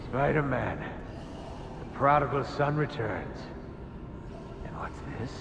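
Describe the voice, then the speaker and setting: An actor playing a bitter, arrogant scientist villain in a video game, with a sneering, grandiose manner. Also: male voice, medium pitch, expressive, slow pace, gravelly, long reverb tail, noisy background. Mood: mocking. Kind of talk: video game cutscene acting.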